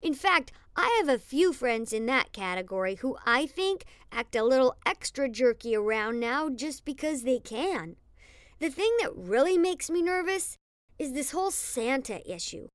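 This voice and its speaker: Woman as a high-voiced, griping schoolboy. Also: expressive, normal speed, dry recording, clean studio sound. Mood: wry, uneasy. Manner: confiding, complaining. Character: wry, anxious schoolkid boy telling his diary. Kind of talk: first-person audiobook narration.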